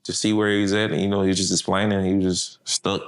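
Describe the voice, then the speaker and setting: A man's voice: low-pitched, flat delivery, quick pace, clear, resonant tone. A man from Memphis, an artist manager chatting casually in an interview, laid-back and slangy with lots of fillers.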